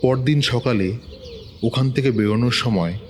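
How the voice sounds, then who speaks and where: A man's voice: medium pitch, moderate pace, darkish tone. A man narrating a horror story in the first person, in a tense, urgent way.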